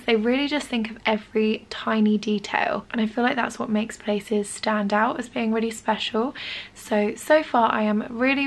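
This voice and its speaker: A young woman in her twenties vlogging to a handheld camera in a small bedroom, chatty and enthusiastic, her voice husky because she has lost it. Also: on the high side. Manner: chatty, enthusiastic, lots of "really, really". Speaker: lifestyle vlogger. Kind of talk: casual vlog to camera. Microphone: handheld camera at arm's length.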